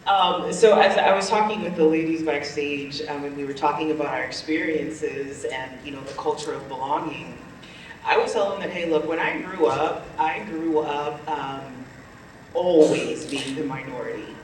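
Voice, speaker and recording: A higher-voiced man panelist in an echo. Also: moderate pace, slight background noise. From Nigeria. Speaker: panelist, pharmacist. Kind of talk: panel discussion, personal story. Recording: echoey hall, distant mic.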